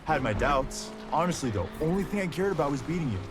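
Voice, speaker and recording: A man's voice: on the high side, big pitch swings, fast talker. An actor playing an easygoing, loyal young male street racer, trading teasing banter in a game cutscene. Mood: earnest. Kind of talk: naturalistic game cutscene dialogue. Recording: music behind the voice.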